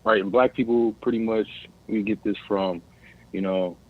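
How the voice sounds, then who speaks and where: Tinny phone-line American man, halting, low voice. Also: fairly even intonation. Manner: hesitant, halting, searching for words. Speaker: caller. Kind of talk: casual live discussion.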